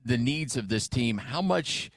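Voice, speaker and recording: A man hosts a casual sports radio interview in a mid-pitched voice. His intonation swings in a lively way, and the sound is close and dry like a studio. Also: a little slow, no room sound.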